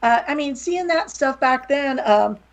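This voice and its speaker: A middle-aged woman from West Virginia speaks with a slight Southern accent, her voice pitched a little high with a gravelly fry. She talks candidly, wandering through her stories with self-deprecating jokes. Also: expressive, measured pace, lo-fi sound. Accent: slight Southern American. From West Virginia, USA. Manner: candid, rambling, jokey. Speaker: guest, wrestling promoter and author. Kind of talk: casual podcast interview.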